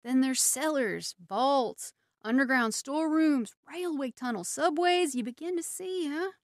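A woman plays an embittered soldier scheming to rule the ruins in a radio play. The voice is high and dry, with halting intensity that moves between hushed plotting and fervor. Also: animated, no room sound. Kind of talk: radio drama acting.

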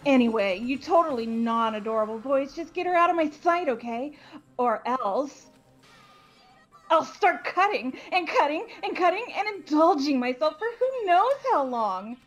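A woman's voice: high voice, lively intonation, moderate pace. A woman playing a manic, gleefully murderous teenage schoolgirl in a video game, taunting and theatrical. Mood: gleeful menace. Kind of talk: video game character voice-over. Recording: music bed behind voice.

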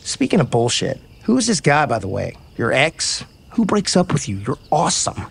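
An actor playing an easygoing, kind, joking baseball player in a naturalistic romantic comedy audio drama. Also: male voice, on the high side, wildly swooping pitch, normal speed, creaky, husky, heavily acted. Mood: warm, candid. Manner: casual, joking, frank.

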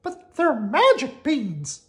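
A man voicing a cheerful, excitable young male mouse in a high, squeaky voice. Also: slow pace, full character voice. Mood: excited.

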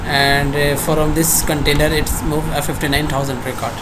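A young man with a Pakistani accent giving a halting software demonstration in non-native English phrasing. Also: on the high side. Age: young adult. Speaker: student presenter. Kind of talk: screen-recorded software demonstration. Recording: noisy home screencast, steady background hum. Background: constant clear background noise.

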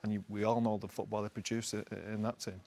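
A man in his forties with a somewhat low voice gives football analysis from a studio panel. He is halting, often repeating words while he gathers his thoughts. He is recorded on a lapel mic in a television studio. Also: level tone, gravelly, a little mellow. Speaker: studio pundit. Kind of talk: post-match football analysis.

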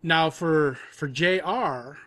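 A man's voice: a little high, slow, fairly bright tone, no room sound. A man explaining his work as a guest in a casual interview, repeating words for emphasis. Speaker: audio drama producer, podcast guest.

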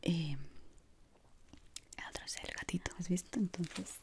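A woman in her thirties with an accent from Spain, whispering softly and soothingly in an ASMR shop assistant roleplay, close to the mic. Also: on the low side, steady tone, measured pace, vocal fry. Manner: soft, soothing whispering and murmuring. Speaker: ASMR creator playing a shoe shop assistant. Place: small indoor room.

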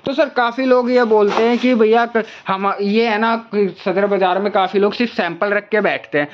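A man's voice: high-pitched, moderate pace, dull audio. A man hosting a walking tour of a crowded wholesale toy shop, asking the seller for prices and repeating each one back to his viewers. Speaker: market video host.

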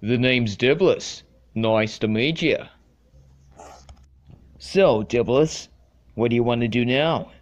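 A man's voice: medium pitch, slow pace, pauses often, lo-fi sound, lightly acted. A man voicing a brash young male action hero in an amateur cartoon. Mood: casual, determined. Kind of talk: amateur animated cartoon voice acting.

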